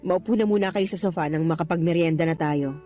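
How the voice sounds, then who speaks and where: An actress in a Filipino radio drama playing a warm, playful middle-aged mother. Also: on the low side, sing-song, moderate pace, faint music behind. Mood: welcoming.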